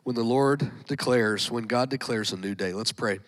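A man's voice: mid-range voice, normal speed, a bit bright. A man preaching a sermon to his congregation, sounding nasal and congested from a cold, punctuating lines with frequent amens and folksy asides. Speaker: pastor. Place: church sanctuary with congregation.

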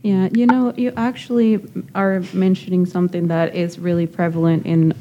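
Mellow-voiced woman host from Nicaragua. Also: fairly low pitch, little pitch movement, normal speed, dull audio, a little hiss or hum. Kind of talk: casual panel talk.

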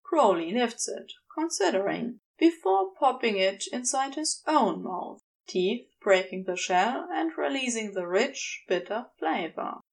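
Woman, fiction narrator, slow measured delivery, creaky texture, intimate storytelling. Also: a little high. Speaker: amateur audiobook narrator.

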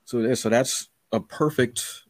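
A man's voice: medium pitch, unhurried, no echo. A man hosting a podcast in a casual chat, speaking emphatically and acting out little quoted remarks. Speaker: podcast host.

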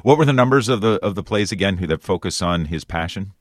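Very deep-voiced male radio host, halting. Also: animated, no echo, studio quality. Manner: halting, rephrases questions repeatedly. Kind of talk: conversational interview.

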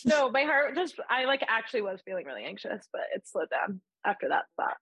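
A woman co-hosting a casual podcast, soft-spoken and a little hesitant, thinking out loud. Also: on the high side, very animated, husky, no room sound, poor audio. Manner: soft-spoken, hesitant, self-described slow-moving. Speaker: podcast co-host. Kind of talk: casual chat.

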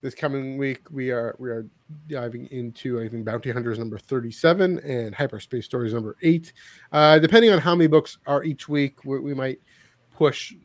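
A man hosts a casual podcast with a voice of middling pitch. He talks haltingly, repeating words and phrases as he goes. The sound is dry and close, with no room on it. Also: no room sound. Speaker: podcast host. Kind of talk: casual podcast chat with plugs.

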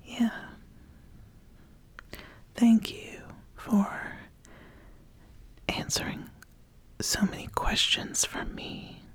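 A woman voicing an ambitious witch who calmly sizes up an apprentice in a soft-spoken, halting, deliberate ASMR roleplay. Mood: calm, inquisitive.

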